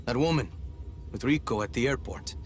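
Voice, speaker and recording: An actor playing a scheming male villain in a video game cutscene, smooth, condescending and coolly menacing. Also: mid-range voice, moderate pace, lightly acted.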